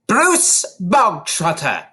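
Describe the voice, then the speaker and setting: A tyrannical middle-aged headmistress from a children's storybook. She barks and shouts in a high, wildly swooping voice. Every insult is stretched out with furious contempt. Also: huge pitch swings, husky, exaggerated voice. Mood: barking commands. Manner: barking, shouting, drawn-out contemptuous emphasis.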